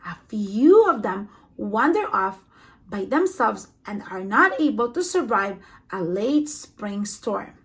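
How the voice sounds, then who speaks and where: A woman reading a children's picture book aloud in an expressive storytelling manner, giving extra emphasis to sound words. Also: medium pitch, slow pace. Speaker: storybook reader.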